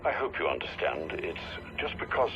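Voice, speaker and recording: An actor playing a loyal older British butler in a video game, formal, earnest and protective, admonishing with worry. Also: male voice, very deep voice, sing-song, normal speed, muffled recording. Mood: apologetic, cautioning. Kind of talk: video game cutscene acting. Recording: loud background noise.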